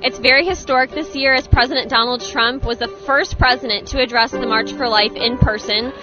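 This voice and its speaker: A woman's voice: sits high, steady tone, brisk, muffled recording, over music. A woman reporter opening a live field report outdoors at a march and interviewing people. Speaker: street reporter.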